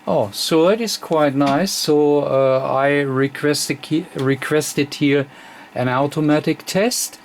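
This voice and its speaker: A man giving an unscripted technical demonstration as a radio technician, speaking in a halting, fragmented way with very frequent hesitations. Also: fairly high pitch. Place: indoor electronics test bench. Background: radio receiver noise.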